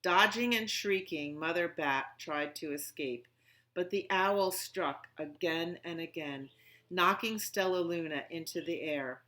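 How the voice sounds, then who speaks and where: A woman hosting a library storytime for young children, speaking in simple phrases with questions and pauses as she chats and reads aloud. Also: fairly low pitch, bright, forceful tone. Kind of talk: children's storytime with songs, rhymes and read-aloud.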